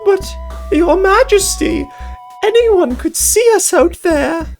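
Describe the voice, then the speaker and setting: A woman's voice: very high-pitched, lively intonation, slow, a little mellow, soft background music, voice pushed up, full character voice. A woman voicing a flustered, anxious royal advisor in a visual novel, formal and deferential. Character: flustered, anxious chess bishop, the Queen's devoted advisor.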